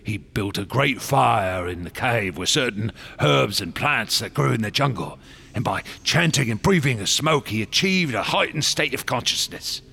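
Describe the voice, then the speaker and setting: A man's voice: medium pitch, lively intonation, normal speed, creaky, bright, forceful tone, breathy edge, heavily acted. An actor playing a passionate, secretive artist and explorer in a radio drama, telling his tales in a grand theatrical way. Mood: fervent storytelling. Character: passionate, secretive male artist and explorer obsessed with ancient gods.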